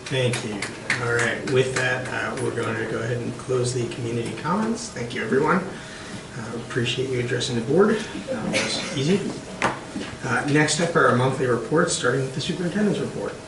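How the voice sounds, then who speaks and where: A man chairing a school board meeting, moving briskly through agenda items. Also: mid-range voice, vocal fry. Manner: brisk, informal with fillers. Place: meeting room. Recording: echoey room from far off, noisy.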